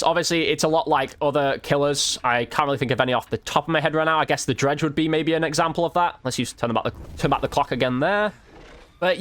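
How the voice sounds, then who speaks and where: Guy doing chill gameplay commentary, chatty, informal, kinda thinking out loud as he goes. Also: medium pitch. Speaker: gaming content creator giving commentary.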